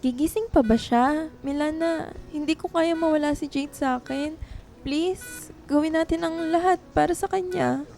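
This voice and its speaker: A woman playing a tender, lovelorn young writer in a radio drama, with a soft, pleading manner. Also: high-pitched, normal speed, gravelly, faint music behind. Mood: worried, pleading.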